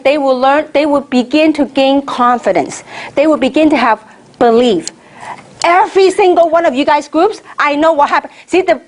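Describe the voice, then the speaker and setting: High-voiced lady pumping up a training room, lots of questions to the crowd. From Hong Kong. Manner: energetic, rhetorical questions to the audience. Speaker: network marketing leader giving training. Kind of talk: motivational training talk. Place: meeting room with an audience.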